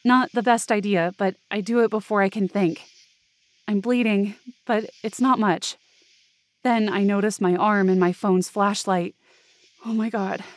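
A woman's voice: medium pitch, steady tone, measured pace, no room sound, close to an everyday voice. A woman acting an anxious young woman who narrates her frightening night hike in tense first-person audio drama. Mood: shaken.

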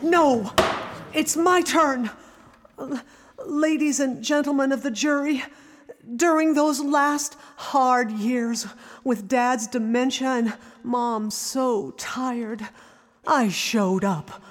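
A woman's voice: sits high, slow, big-room sound. A woman acting a defensive, pleading grown daughter in an audio drama, emphatic and exasperated.

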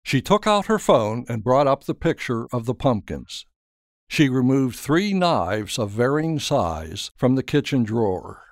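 A man narrating a scripted story in a measured, dramatic storytelling manner. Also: medium pitch, sing-song, no room sound, studio quality. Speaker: author and narrator.

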